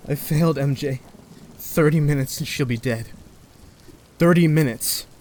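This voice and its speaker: A man's voice: a little high, measured pace, darkish tone, a little hiss or hum, close to an everyday voice. A man playing an earnest, guilt-ridden young superhero in a comic-book fan dub, dramatic, with music behind. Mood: desperate.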